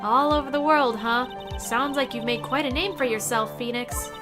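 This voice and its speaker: A woman voicing an earnest young male defense attorney, dry and politely sarcastic, with loud game music underneath. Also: high voice, moderate pace, close to an everyday voice. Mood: wry.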